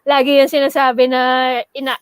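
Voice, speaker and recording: A woman's voice: high-pitched, completely flat delivery, no room sound. A woman with a Filipino accent co-hosting a casual podcast, switching between Tagalog and English and often restarting. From Philippines. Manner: halting, mixes Tagalog and English, many restarts. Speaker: podcast co-host.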